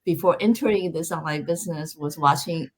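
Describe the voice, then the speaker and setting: A woman's voice: deep voice, fairly quick. Middle-aged woman hosting a live video call. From Taiwan. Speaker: host. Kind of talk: hosting a live online interview series.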